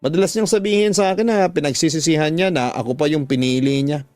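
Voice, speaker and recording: Radio drama role: troubled young live-in partner, female played by a man, earnest, plaintive, somewhat high, closely dry. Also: fast talker, a little mellow, no room sound, faint music behind, close to an everyday voice. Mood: hurt, pleading. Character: troubled young live-in woman confiding her heartache.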